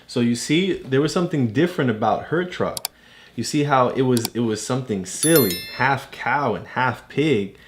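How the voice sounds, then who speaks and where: A man's voice: medium pitch, animated, normal speed, slight background noise. A man, a father, casually and patiently explaining things to his child, checking with questions as he goes.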